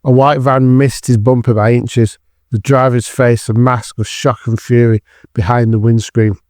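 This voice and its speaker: A man's voice: mid-range voice, little pitch movement, normal speed, darkish tone, no room sound, studio quality. A man narrating a scripted dramatic thriller as the storyteller of an audio drama.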